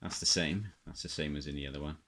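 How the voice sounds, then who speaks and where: A middle-aged man giving a casual, unscripted show-and-tell, halting and musing as he repeats himself. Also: very deep voice, steady tone, fairly bright tone, a little hiss or hum. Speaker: hobbyist presenter.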